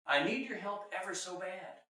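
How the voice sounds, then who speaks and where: A man's voice: sits high, normal speed, bright, forceful tone, voice pushed up. A man voicing an earnest, kind young father who speaks in heartfelt rhyme for a children's story. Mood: earnest pleading. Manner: heartfelt, theatrical storybook delivery. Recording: very echoey room, distant mic.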